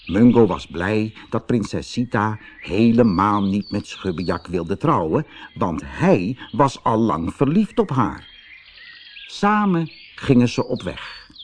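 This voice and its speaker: A man narrating a children's fairy-tale audiobook in an expressive, dramatic way. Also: fairly low pitch, husky. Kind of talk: scripted children's audiobook storytelling.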